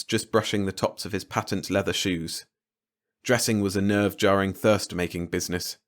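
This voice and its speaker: A man reading a short story aloud as scripted audiobook narration. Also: lowish voice.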